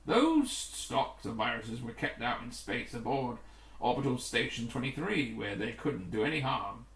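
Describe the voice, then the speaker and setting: A man reading the part of a grim, authoritative rescue commander in a storybook, formal and deliberate. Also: medium pitch, sing-song, fairly bright tone, far from the mic. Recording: roomy, echoing room.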